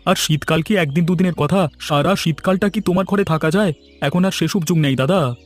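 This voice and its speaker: Guy doing friendly cartoon village sap tapper, talks fast, high voice, folksy, faint music behind. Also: close to an everyday voice. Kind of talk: cartoon dialogue.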